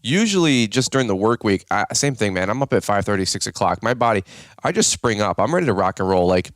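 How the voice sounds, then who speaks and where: A man hosts a podcast in a slightly low, raspy morning voice. He talks in an upbeat, rambling way, full of fillers and restarts. The sound is dry and close. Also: big pitch swings, fast, no echo. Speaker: podcast host. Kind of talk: casual podcast chat.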